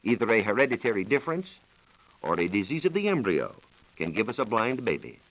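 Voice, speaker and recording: Low-voiced American radio science man, animated pitch. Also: poor audio. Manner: measured, formal, explanatory. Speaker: science reporter explaining research. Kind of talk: scripted 1940s radio science talk. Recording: old 1940s radio broadcast recording.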